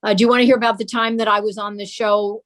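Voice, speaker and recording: A woman's voice: medium pitch, little pitch movement, fast talker. An older woman talking as an interview guest, recalling family memories conversationally. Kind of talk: reminiscing in conversation.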